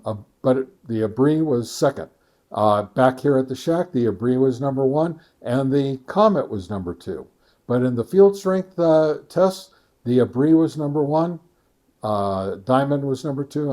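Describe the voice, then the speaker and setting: A man giving an informal product review as a channel host, speaking in a halting, deliberate way with frequent hesitations and self-corrections. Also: medium pitch, mellow.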